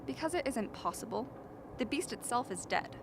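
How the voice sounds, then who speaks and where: Woman playing cocky dismissive scientist, radio drama, slightly high voice, bit of noise. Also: animated, normal speed. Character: confident, dismissive female scientist at polar base. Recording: faint background noise.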